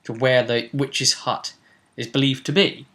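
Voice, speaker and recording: A man's voice: medium pitch, steady tone, unhurried, pushed and bright. A man acting as game master, chattily recapping a tabletop role-playing session in an informal, rambling way. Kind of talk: casual conversational recap.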